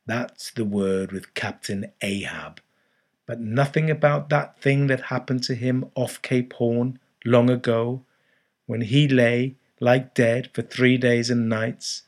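A man's voice: mid-range voice, voice pushed up. A man voicing a ragged old male sailor who speaks in an earnest, cryptic, ominous way in an audiobook. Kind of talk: audiobook character voice.

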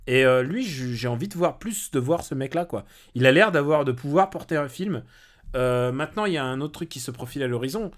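A man in casual podcast conversation, opinionated and talking at length in long, looping stretches. His pitch sits in the ordinary middle range for a man, and his delivery stays unscripted and chatty. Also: expressive, brisk. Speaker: podcast guest, comedy film critic.

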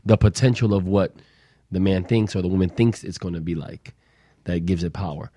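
Man, very deep husky voice, dry close sound, thoughtful musing. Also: fairly quick, mellow, no echo. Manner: musing, theorizing. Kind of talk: candid talk radio chat.

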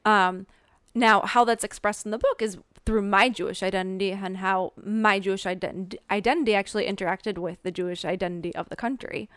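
A woman's voice: medium pitch, fairly even intonation, a little fast, fairly bright tone, clean tone, dry recording. Thoughtful woman with a Minnesota accent. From American Midwest. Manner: thoughtful, with frequent um fillers and restarts. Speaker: author being interviewed. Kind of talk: reflective interview answers.

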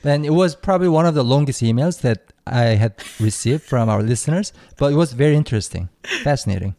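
A man's voice: medium pitch, lively intonation, fast, warm tone, no echo, clean studio sound. A man who is a native Korean speaker, hosting a podcast and guiding a casual interview. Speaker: podcast host.

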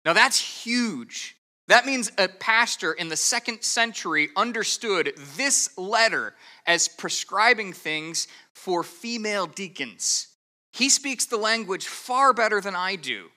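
A man's voice: high-pitched, lively intonation, unhurried, sharp tone. A man preaching an expository sermon as a pastor, explaining step by step in an earnest, methodical way, with the odd stammered restart. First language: English.

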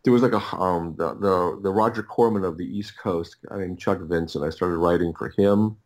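Middle aged guy with a low voice chatting on a podcast, keeps restarting and rambling through anecdotes. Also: darkish tone. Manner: halting, repetitive, rambling anecdotes with self-interrupted phrases. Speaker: podcast guest, writer and audio producer. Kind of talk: casual interview storytelling.

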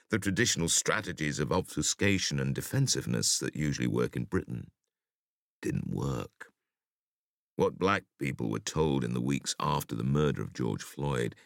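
Male audiobook narrator, very low pitch, dry close recording, articulate, measured, emphatic scripted reading. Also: vocal fry, dry recording. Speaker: audiobook reader.

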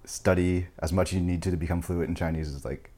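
Guest, young male, deep voice, fast, rambly casual talker with lots of fillers. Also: steady tone, a little mellow. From United States. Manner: rambling, heavy filler "like", chuckling. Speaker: podcast guest. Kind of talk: casual chat.

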